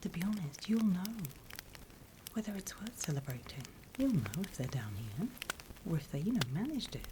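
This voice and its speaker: A British woman playing a sly demon instructor in a whispered ASMR roleplay, unhurried, wry and smugly scornful of humans. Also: lowish voice, very animated, noisy background, pitched above the performer's own voice. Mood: calm, instructive. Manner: unhurried, hushed, teacherly, wry.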